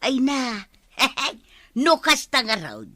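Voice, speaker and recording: A woman's voice: sits high, sing-song, very slow, fairly bright tone, no echo, studio quality, exaggerated voice. An actress playing a giggly, easily persuaded village woman in a radio comedy drama. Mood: amused, wavering. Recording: prominent music behind the voice.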